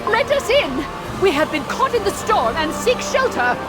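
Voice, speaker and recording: A woman playing a haughty but gracious young royal in a game cartoon, speaking formally and imperiously, with loud music behind. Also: very high-pitched, sing-song, normal speed. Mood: exasperated.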